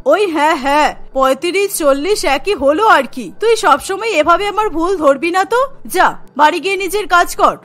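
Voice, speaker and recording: A woman acts out a middle-aged cartoon mother-in-law who insists she is young. Her voice is extremely high and sharp, with a boastful, defensive, emphatic delivery. Music plays underneath. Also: lively intonation, normal speed. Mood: irritated, defensive. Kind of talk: cartoon voice acting.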